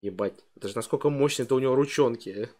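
A young man with a middle-pitched voice reacts to a stream clip, cracking crude jokes and thanking donors. He talks into a headset mic in a small room at home. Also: fast, a little mellow. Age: young adult. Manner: joking, crude, reads donations aloud. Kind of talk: casual reaction commentary.

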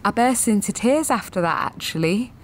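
A woman's voice: medium pitch, animated, normal speed, a little hiss or hum, close to an everyday voice. An actress in her twenties playing a jaded, sweary, sensitive gallery attendant in a confessional audio drama monologue, wry and self-deprecating. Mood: exasperated. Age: 20s.

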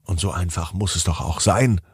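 Deep-voiced man telling a bedtime story. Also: husky, dry recording, studio quality. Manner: deliberate, playful, with drawn-out words and dramatic pauses. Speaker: children's podcast storyteller. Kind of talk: expressive bedtime story narration for children.

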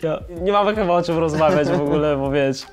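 A man's voice: fairly high pitch, quick pace, a loud crowd. A young man, a footballer guest, casually telling anecdotes in a living-room interview and laughing often. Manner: lively storyteller, laughs often, repeats "dokładnie".

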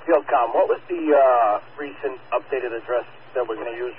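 A man delivers procedural fire progress reports by two-way radio. His voice is mid-pitched and his phrasing clipped. The sound is thin and phone-like, with clear noise. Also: normal speed. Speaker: fire field communications officer.

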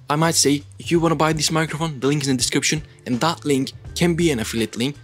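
Male creator, Turkish accent, casual explainer with frequent fillers; mid-pitched voice, faint music under. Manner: loose and chatty, frequent "like" fillers. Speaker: content creator. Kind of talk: casual talking-head explainer.

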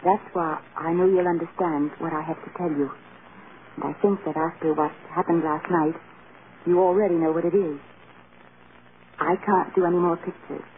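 An actress playing a devoted, anguished film star wife in an earnest, emotional 1930s radio drama style. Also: female voice, lowish voice, fairly even intonation, normal speed, lightly acted. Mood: sad, resolved. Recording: scratchy, tinny 1930s radio broadcast.

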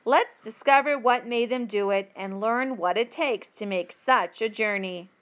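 A woman with a fairly high voice reads a school passage aloud. She goes very slowly, phrasing each part carefully and deliberately, in the style of a teacher reading to learners. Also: a bit bright. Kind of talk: slow read-aloud of a textbook passage.

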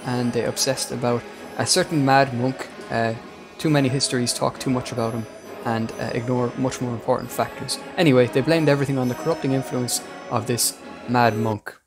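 A man's voice: medium pitch, fairly even intonation, a little fast, music in the background. A man reading a scripted history narration as the host of a podcast.

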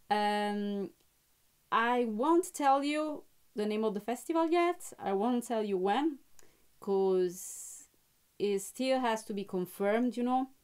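Chatty young woman with a clear, bright voice. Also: a little high. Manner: chatty, with frequent fillers and restarts. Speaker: musician and channel host. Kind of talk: casual live-stream chat. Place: small home music studio, indoors.

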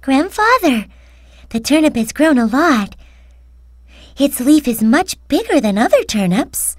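A woman's voice: high voice, sing-song, a little slow, dry recording, studio quality. A woman voicing a curious, sweet little granddaughter in a storybook, eager and questioning.

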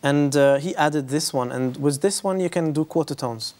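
Man, 30s, hesitant, lots of restarts, lapel mic, bright room. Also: fairly high pitch, moderate pace. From Lebanon. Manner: hesitant, many fillers and restarts. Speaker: musician guest. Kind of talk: casual interview answers. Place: bright room with big windows, indoors.

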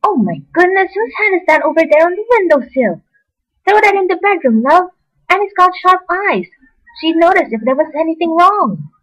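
A performer playing an excitable, fussing character in an amateur radio play. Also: extremely high pitch, moderate pace, heavily acted, rough recording. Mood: flustered, excited.

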